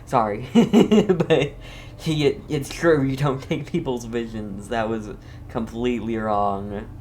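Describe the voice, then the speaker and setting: A man comments casually on a game at an average pitch. He reacts and muses out loud, with faint noise behind him. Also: slow. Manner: reactive, musing aloud. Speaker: gamer commentator.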